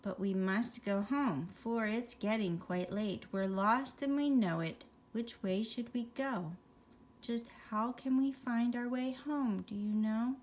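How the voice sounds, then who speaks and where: A woman's voice: fairly high pitch, takes it slowly, darkish tone. A woman voicing a polite, earnest young male fawn who is lost, in a children's storybook.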